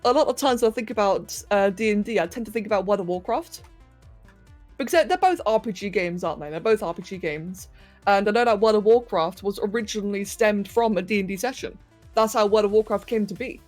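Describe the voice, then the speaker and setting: A woman's voice: medium pitch, fairly quick, soft background music. Chatty woman streamer. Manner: chatty, greets viewers, laughs often, asides to her pets. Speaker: livestream host. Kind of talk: casual chat with live chat while sculpting.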